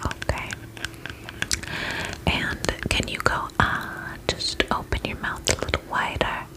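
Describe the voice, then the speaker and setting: A woman playing a soothing, attentive vampire spa beautician in an ASMR roleplay, whispering gently and reassuringly in a breathy voice. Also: natural voice, poor audio. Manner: gentle, reassuring, slow and unhurried.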